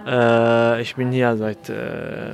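A man's voice: mid-range voice, slow pace, vocal fry. A man from Syria speaking, giving a casual interview and hesitantly searching for his words. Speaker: interviewee, local resident.

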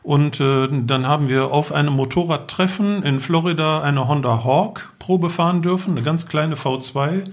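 A man's voice: on the high side, moderate pace, few pauses. A man, telling casual anecdotes as a podcast guest. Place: living room.

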